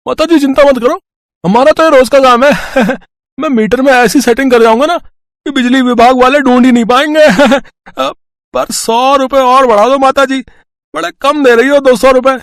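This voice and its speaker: A man's voice: very high-pitched, steady tone, moderate pace, no echo, studio quality. A man voicing a sly, wheedling young electrician in an audio story, eager, boastful and cajoling. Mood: sly, gleeful. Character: sly, wheedling electrician lad taking bribes.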